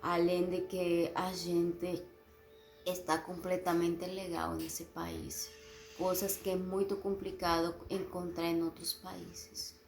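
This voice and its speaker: A woman with a way of speaking gives a documentary testimonial at a very slow, measured pace. Her delivery is flat, and the room echoes around her, with soft music behind. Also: lowish voice. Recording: roomy echo, music behind.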